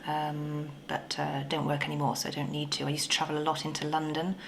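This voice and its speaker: Low, gravelly woman in her forties. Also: slight background noise. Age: 40s. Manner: relaxed, hesitant with pauses. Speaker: interviewee. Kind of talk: casual interview answers.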